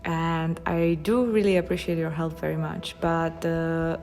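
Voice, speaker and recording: A woman with a Slovak accent, a watercolor artist chatting casually while she paints, in a halting, thoughtful way with frequent pauses. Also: deep voice, a little mellow.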